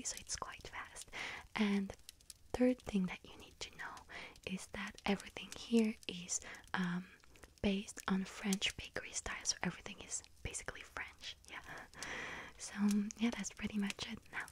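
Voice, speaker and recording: A woman voicing a friendly, chatty young witch who works in a bakery, in a gentle breathy ASMR whisper with hesitant pauses. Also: medium pitch, close to an everyday voice. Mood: enticing. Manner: gentle, unhurried, hesitant pauses with soft ums. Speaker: ASMR roleplay performer. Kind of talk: whispered ASMR shop roleplay.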